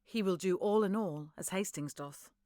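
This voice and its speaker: A woman's voice: fairly low pitch, moderate pace, warm tone, no echo, studio quality, natural voice. A woman playing a dutiful, discreet go-between to plotting lords in Shakespearean audio drama. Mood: deferential.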